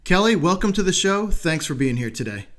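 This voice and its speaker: A man's voice: high voice, big pitch swings, a little fast, a bit bright, soft background music. A man speaking as the host of a podcast interview.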